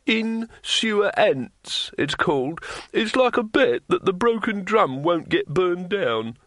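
A man's voice: fairly high pitch, sing-song, a little slow, bright, forceful tone, dry recording, clean studio sound, voice pushed up, full character voice. A man voicing a fat, nervous male innkeeper who stammers and hesitates in a storybook reading.

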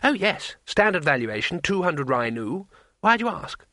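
A man's voice: fairly high pitch, big pitch swings, moderate pace, bright, forceful tone, no echo, clean studio sound, pitched above the performer's own voice, full character voice. A man voicing a naive, polite and anxious tourist in a storybook reading, earnest and plaintive.

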